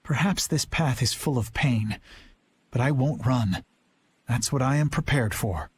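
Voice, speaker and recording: A man's voice: medium pitch, measured pace, slight background noise, natural voice. A man voicing a principled, earnest young knight in an anime game dub, formal and resolute. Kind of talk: English-dubbed anime game voice acting. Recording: music under the voice. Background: music.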